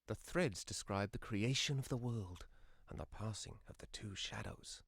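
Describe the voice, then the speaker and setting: An actor playing an earnest, musing seventeen-year-old youth in a scripted fantasy game. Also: male voice, lowish voice, moderate pace, fairly bright tone, breathy edge, no room sound, studio quality.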